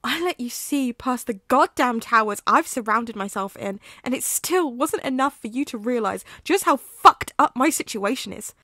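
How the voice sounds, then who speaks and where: Furious teen werewolf boy, read by a woman, bitter, swearing, shouting, high-ish and dry. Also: expressive, very slow, husky, no room sound, exaggerated voice.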